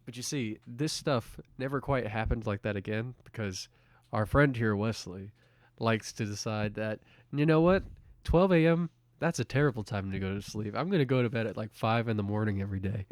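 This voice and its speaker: A young adult man co-hosting a podcast, bantering casually in an animated, teasing way. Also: on the low side, normal speed, no echo. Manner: animated, teasing, acts out quotes. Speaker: podcast co-host.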